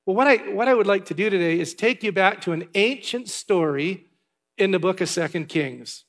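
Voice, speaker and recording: A pastor from Canada giving church announcements and a sermon in a chatty way, with asides and filler words. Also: male voice, high voice, animated, normal speed, fairly bright tone. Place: large church hall. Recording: long hall reverb.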